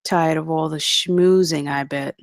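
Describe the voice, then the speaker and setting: A woman's voice: low-pitched, steady tone, a little slow, no echo, clean studio sound. A woman reading the part of a brash, cynical male executive in a script table read, with a blunt, chummy manner. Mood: confiding, knowing.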